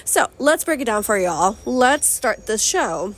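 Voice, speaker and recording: A young woman with a high voice talks through her own vlog in a bubbly, slangy way. Her voice sounds slightly strained as it recovers, and she swoops from excited squeals to low asides. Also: unhurried. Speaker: vlogger and podcast host. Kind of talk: casual upbeat vlog talk.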